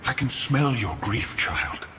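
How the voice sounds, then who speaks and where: A man's voice: medium pitch, animated, slow pace, pushed and bright. An actor playing a mournful, pleading male spirit in a video game, beseeching in archaic phrases. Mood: coaxing, eerie. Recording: long ghostly reverb, faint noise.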